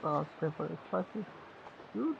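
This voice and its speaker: A game streamer giving casual, slangy live commentary in halting, muttered reactions. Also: mid-range voice, very animated, creaky, dull audio, slight background noise. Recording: loud game music and effects behind.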